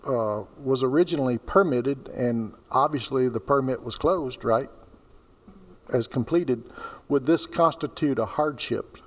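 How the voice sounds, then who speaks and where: A man's voice: mid-range voice, measured pace. Man board member asking questions. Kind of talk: questioning at a public meeting. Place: council meeting chamber. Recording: reverberant meeting hall.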